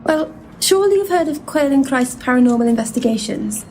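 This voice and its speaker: Young intern girl, high voice, polite n earnest, telling her story, audio drama, slight noise. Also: animated, fairly quick, a little mellow. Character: earnest, loyal young female intern giving a statement.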